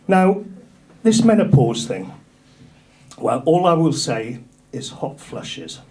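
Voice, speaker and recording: A middle-aged man from North Yorkshire with an English accent, doing a stand-up routine in a comedy club, dropping his punchlines low and dry. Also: big pitch swings, slow. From North Yorkshire, England. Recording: live club with loud crowd laughter. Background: audience laughter.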